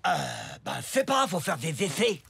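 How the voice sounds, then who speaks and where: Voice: mid-range voice, big pitch swings, moderate pace, creaky, fairly bright tone. Scheming cartoon kid sidekick, matter-of-fact but swoopy, music under it. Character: schoolboy sidekick, scheming know-it-all ten-year-old pal. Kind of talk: French animated cartoon dialogue. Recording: music playing behind the voice. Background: cartoon background music.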